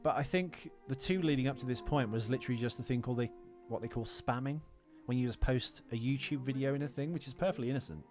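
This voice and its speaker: A man's voice: medium pitch, quick pace, few pauses, over music. A man co-hosting a casual film podcast, talking in an opinionated, rambling way with plenty of swearing. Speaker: podcast co-host.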